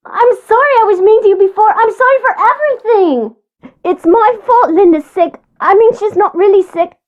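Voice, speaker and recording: A woman's voice: very high-pitched, normal speed, dry recording, narrow, tinny audio. A woman voicing a bratty, know-it-all young girl from an old English family in a video game. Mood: panicked, pleading. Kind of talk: video game character dialogue.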